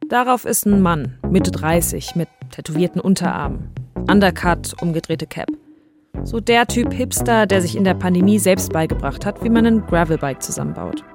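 A woman's voice: on the low side, expressive, normal speed, over music. A woman hosting and reporting in a conversational investigative podcast narration style. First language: German.

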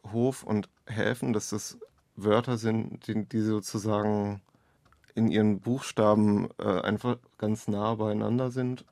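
Low, even-toned man explaining his writing. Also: dry recording, clean studio sound. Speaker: author, interviewee. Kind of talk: reflective interview conversation.

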